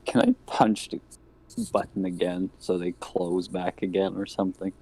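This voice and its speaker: A man's voice: low voice, flat delivery, moderate pace, darkish tone. A man playing in a tabletop roleplaying game, talking bluntly and casually. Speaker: player.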